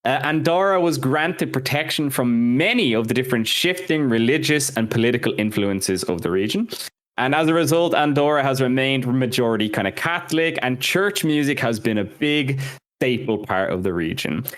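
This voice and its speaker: A man's voice: medium pitch, lively intonation, normal speed. A man co-hosting a music history podcast, talking casually through scripted notes and joking about his own mispronunciations. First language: Irish and English speaker. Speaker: podcast co-host.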